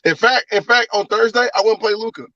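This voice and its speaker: A man's voice: high voice, fairly quick, bright, forceful tone, dry recording, muffled recording. Male guest, easygoing caller, dry and distant. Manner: laid-back, swears casually. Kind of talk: casual sports chat. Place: outdoors in a driveway.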